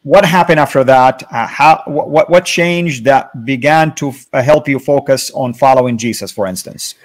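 A man's voice: mid-range voice, moderate pace. Prompting male host on a video call, distant-sounding. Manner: prompting, encouraging. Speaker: interviewer host. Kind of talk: interview questions.

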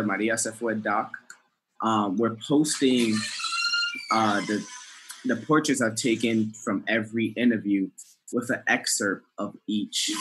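A man's voice: lowish voice, a bit bright, a little hiss or hum, low-quality recording. A man in his thirties, a filmmaker guest on a video call, explaining in an upbeat way through a headset. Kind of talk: casual video call interview.

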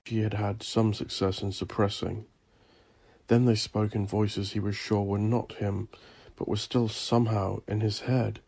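A man narrating scripted horror fiction in a theatrical, ominous manner, deliberately drawing out his phrases. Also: on the low side, little pitch movement, creaky, a little mellow, husky, no echo. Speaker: audio drama narrator.

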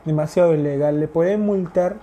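A man's voice: fairly high pitch, fairly quick. A man in his thirties from India speaking Spanish as a learned language with an Indian accent, chatting to camera with many fillers and repeats, recorded on a lapel mic in a small room. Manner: animated, gesturing hands. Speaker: vlogger. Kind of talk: casual talk to camera. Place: small indoor room in front of a blue wall.

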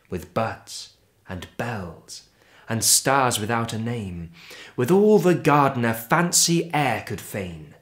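Slow, measured man reciting poetry. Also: on the low side. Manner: measured, expressive, with deliberate pauses. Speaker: poetry reader.

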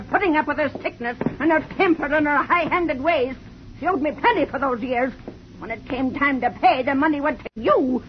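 An actress playing a bitter, scornful, hard woman in a 1950s radio crime drama, with a venomous, accusing manner. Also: high-pitched. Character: bitter, resentful woman blackmailer turned killer, scornful and hard. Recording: dull, faintly noisy 1950s radio recording.

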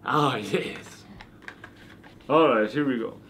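A man's voice: medium pitch, takes it slowly, vocal fry, fairly bright tone, slight background noise. A man playing a cranky, theatrical ancient vampire host with a put-on Transylvanian accent, ranting and swearing comically in character. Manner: hammy, ranting, profane.

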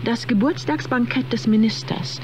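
Actress as vain, bored diva, noisy background. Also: female voice, on the high side, moderate pace, darkish tone, natural voice. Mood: self-absorbed. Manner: grand, affected, self-absorbed. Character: vain, bored female diva, film star and singer. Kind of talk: radio drama acting.

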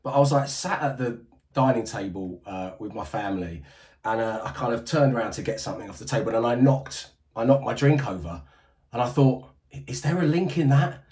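A man's voice: medium pitch, animated, fairly quick, crowd noise. A British man in his forties, a radio breakfast host, talking casually on a video call from home, rambling with lots of restarts and some self-deprecating jokes. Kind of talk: casual interview chat. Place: home room. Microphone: headset on video call.

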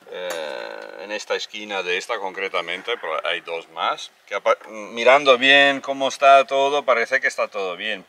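A man from Spain explains a hatch repair step by step aboard a moored sailboat. His voice sits low but has a bright edge. He is a bit far from the mic, with faint noise behind him. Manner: explains step by step, plain and practical. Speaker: vlog co-host doing boat repairs. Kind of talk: casual how-to explanation.

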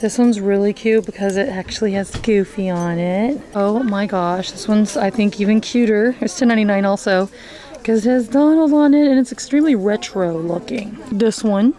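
A woman's voice: medium pitch, normal speed, warm tone. A woman in her thirties chatting upbeat to camera as she walks a large store, reading out prices. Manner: chatty, upbeat, reads out prices, frequent gasps and little laughs. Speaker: shopping vlogger. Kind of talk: casual vlog walkthrough. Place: large indoor retail store. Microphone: handheld wide-angle camera at arm's length.

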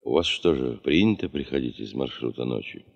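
Gravelly veteran taiga geologist, extremely low, reflective, weary but driven, dull 1970s radio drama sound. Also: male voice, lively intonation, fairly quick, full character voice. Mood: pensive. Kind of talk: 1970s Soviet radio play acting. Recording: dull 1975 radio play recording.

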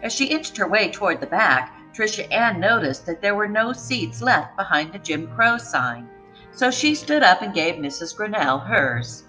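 A woman reading a children's storybook aloud with expressive, dramatic narration. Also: medium pitch, moderate pace, soft background music, rough recording.

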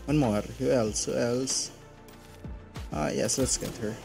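A man's voice: mid-range voice, a little slow, creaky, over music. A man from the Philippines with a Filipino accent, chatting casually and thinking aloud as he streams a game. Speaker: live streamer.

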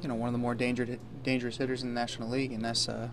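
A man's voice: mid-range voice, flat delivery, racing, crowd noise. A man, a baseball player, speaking in a locker-room interview with frequent fillers.

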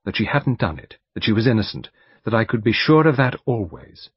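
A man's voice: on the low side, expressive, brisk, husky, no echo. A man reading an audiobook, voicing an earnest, determined young woman who speaks insistently. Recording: dull lo-fi recording.

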